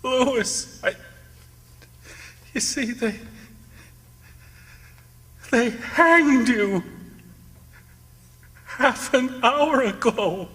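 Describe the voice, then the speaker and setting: An actor playing a cowardly, guilt-ridden murderer in a radio drama, stammering and pleading frantically. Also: male voice, very high voice, drawn-out words, pauses often, a bit bright, big-room sound, full character voice. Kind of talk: old-time radio theatre acting.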